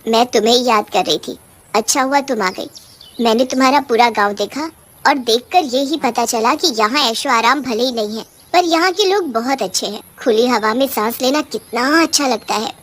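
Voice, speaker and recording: A woman acting as an indignant female witch from a cartoon horror comedy. The voice is high, and the manner is fussy and scolding. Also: normal speed, a bit bright. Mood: reflective. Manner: scolding, complaining.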